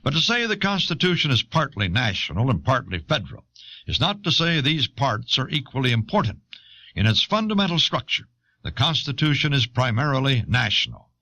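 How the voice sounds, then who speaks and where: A man reading a scripted educational history narration in a measured, explanatory manner on an old cassette. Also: medium pitch, big pitch swings, bright, forceful tone. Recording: dull old cassette audio.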